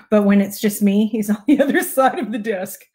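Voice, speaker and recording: A middle-aged woman with a Texan accent and a raspy voice, casually greeting viewers on a home livestream, often clearing her throat. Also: mid-range voice, moderate pace. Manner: relaxed, clears throat often, chuckles softly. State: raspy voice from little sleep. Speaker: prayer livestream host. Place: home study with bookshelves. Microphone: clip-on lapel mic, webcam.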